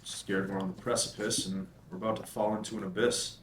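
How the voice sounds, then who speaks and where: A man's voice: deep voice, little pitch movement, fairly quick, natural voice. A man playing a troubled, self-doubting and anxious character in a screenplay table read. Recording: roomy echoing table-read recording.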